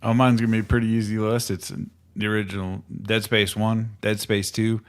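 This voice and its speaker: A man co-hosting a casual livestream podcast, relaxed and self-deprecating. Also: lowish voice, a little fast, clean tone. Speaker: podcast co-host.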